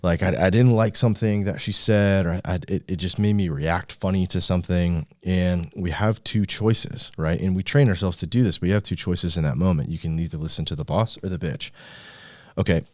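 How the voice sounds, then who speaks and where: A man with a very deep voice hosts a motivational podcast. He speaks casually but with a coach's emphasis and doesn't shy from swearing. The sound is dry, with almost no room in it. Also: moderate pace, darkish tone, no echo. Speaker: podcast host and business coach.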